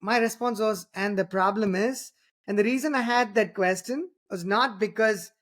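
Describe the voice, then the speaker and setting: A man's voice: sits high, moderate pace, a bit bright. A man from southern India with an Indian accent, a native Tamil speaker, hosting a podcast interview and talking in long, winding sentences full of "you know" and "right?". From Tamil Nadu, southern India. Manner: rambling, many restarts and "you know", "right?" tags. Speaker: podcast host. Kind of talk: casual interview conversation.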